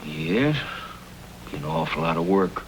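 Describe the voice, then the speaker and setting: An actor playing a gentle, weary old knifesmith with a hesitant, homespun manner in an old-time radio Western. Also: male voice, deep voice, big pitch swings, measured pace, gravelly, heavily acted. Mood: pondering, wistful. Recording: noisy old radio drama recording.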